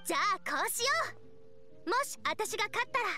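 Spirited young girl chef, super high sharp voice, earnest n confident, anime game. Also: lively intonation, fairly quick, faint music behind, full character voice. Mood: determined. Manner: earnest, girlish, plain-spoken. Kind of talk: anime-style game acting.

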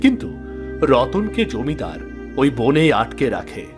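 A man's voice: mid-range voice, wildly swooping pitch, measured pace. Male fairy-tale narrator over music.

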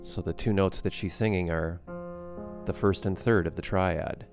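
A man giving a casual software tutorial as a music production instructor, explaining plainly step by step. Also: low-pitched, steady tone, moderate pace.

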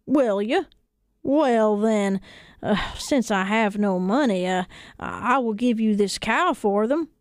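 A woman voicing an excitable, naive, kindly married man in a folktale, earnest and exclamatory. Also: mid-range voice, slow pace, no room sound, studio quality, natural voice. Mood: delighted, wistful.

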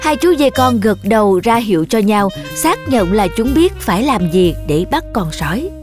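A woman's voice: medium pitch, very animated, moderate pace. A woman narrator reading a scripted children's story. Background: music.

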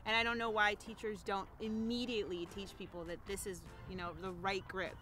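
A young woman, a long drive golfer, answering interview questions outdoors on a golf course in a bubbly way, laughing often and saying "like" a lot, recorded with a boom mic. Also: level tone, fairly quick, noisy background. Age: young adult. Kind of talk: casual promotional interview. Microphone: boom mic overhead.

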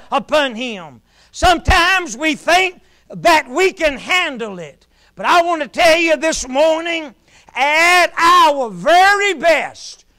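Middle-aged male pulpit preacher, very high and bright, slow and deliberate, alternates shouting and hushed delivery. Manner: preaching in rising shouts, then dropping to a hush. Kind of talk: sermon. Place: small church sanctuary, at a pulpit.

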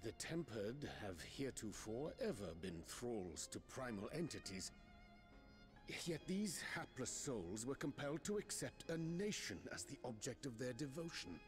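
An actor playing a scholarly male elf sage in a game, with formal, archaic, measured diction. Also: mid-range voice. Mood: pondering. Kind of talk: dramatic game cutscene acting. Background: string music.

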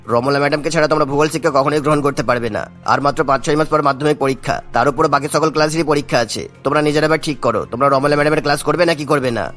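A man's voice: on the high side, fairly bright tone, natural voice. A man voicing a stern but fair school headmaster who admonishes with authority in a cartoon drama.

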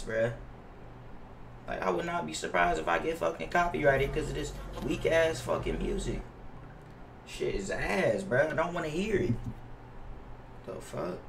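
A man's voice: fairly high pitch, creaky, fairly bright tone. Young American man streaming, roomy sound. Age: young adult. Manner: slangy, profane, complaining. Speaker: livestreamer playing a basketball video game. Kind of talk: casual livestream chat with viewers. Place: indoors at a gaming setup. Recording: roomy, distant mic with faint noise.

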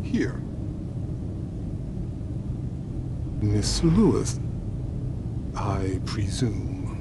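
A courteous but menacing vampire leader in a video game drama. He speaks very slowly, with formal, old-fashioned politeness and guarded calm, over a clear background rumble. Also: heavily acted. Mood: coolly menacing.

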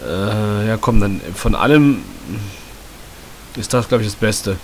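A man with a slight cold commenting casually and sarcastically on a story game, often clearing his throat. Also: lowish voice, takes it slowly, darkish tone. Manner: chatty, joking, sarcastic. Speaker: let's play host. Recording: hissy home mic.

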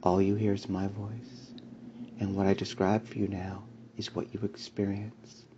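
Slow soft-spoken man, hypnotic whisper. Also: fairly low pitch, level tone, dull audio, noisy background. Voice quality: soft and soothing, with breathy whispers. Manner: slow, repetitive commanding cadence. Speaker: hypnosis audio creator.

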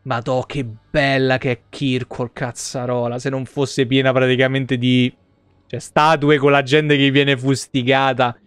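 A man's voice: medium pitch, little pitch movement, a little slow, smooth, no echo. A man, giving informal live game commentary and reading game text aloud on a close microphone. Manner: informal, mild exclamations. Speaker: video game streamer. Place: small indoor streaming setup.

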